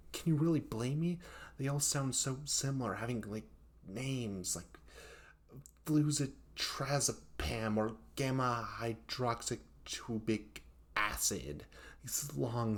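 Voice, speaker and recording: A man playing a hapless young male best friend in a comedic audio roleplay, rambling and stammering in a flustered, self-deprecating way. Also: medium pitch. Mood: rueful, self-deprecating. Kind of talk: comedic audio roleplay monologue addressed to the listener.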